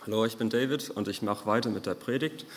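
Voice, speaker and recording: A man with an Irish accent preaching a sermon in an informal, halting way with many fillers. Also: on the low side, big-room sound, slight background noise. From Ireland.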